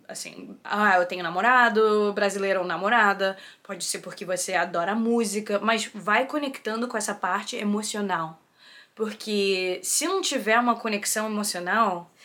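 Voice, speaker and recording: A woman being interviewed, giving casual advice in a lively, expressive way. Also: medium pitch. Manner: lively and expressive, animated at times. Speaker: interview guest.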